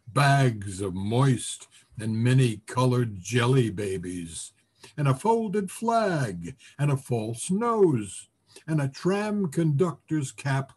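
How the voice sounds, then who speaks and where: A man with a husky voice reading a literary story expressively, savoring the words with deliberate pauses. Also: mid-range voice, darkish tone. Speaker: voice actor, story reader. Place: remote video call presentation.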